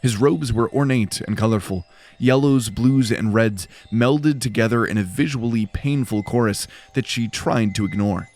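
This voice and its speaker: A man's voice: medium pitch, moderate pace, darkish tone. A man reading scripted, dramatic narration for a fantasy audio drama.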